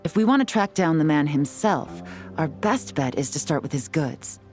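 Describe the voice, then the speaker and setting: A composed female spy with a low voice, sly and self-assured, teasing as she talks through her schemes. Soft game music sits faintly behind her. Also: expressive, normal speed. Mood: explaining. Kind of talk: video game voice acting.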